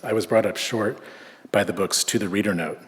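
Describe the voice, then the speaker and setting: A man from Southern California reading an academic conference paper in a measured way, with frequent filler hesitations. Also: fairly low pitch, steady tone. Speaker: conference speaker. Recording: echoey lecture hall.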